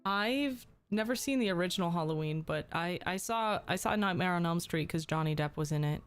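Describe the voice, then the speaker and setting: Young female streamer, casual chat, slightly hoarse from a cold, faint music underneath. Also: lowish voice, brisk. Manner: chatty, reads and answers chat, frequent ums. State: slightly sick, losing her voice.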